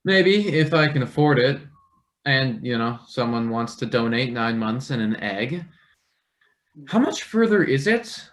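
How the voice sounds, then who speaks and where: A man's voice: medium pitch, sing-song, unhurried, fairly bright tone. A man playing an eager, hesitant young male intern with dry wit in a stage play table read. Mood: chatty, bantering.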